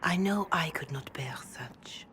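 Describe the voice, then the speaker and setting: An actress playing a devout, calm middle-aged cleric in a fantasy video game, speaking in a measured, reverent way. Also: female voice, low voice, slight background noise. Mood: solemn, devout. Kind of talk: dramatic video game dialogue.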